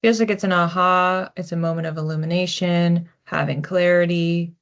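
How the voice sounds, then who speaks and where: Low-voiced woman giving an intuitive reading. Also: normal speed, dull audio. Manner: conversational, reflective, animated asides. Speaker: psychic card reader, host. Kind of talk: informal spoken oracle card reading.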